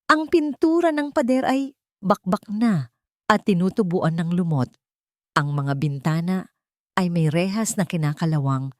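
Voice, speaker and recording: Female narrator, Filipino accent, lower register, solemn theatrical storytelling for audio drama, dry studio-style sound. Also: a little mellow, dry recording. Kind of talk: dramatic first-person scripted story narration.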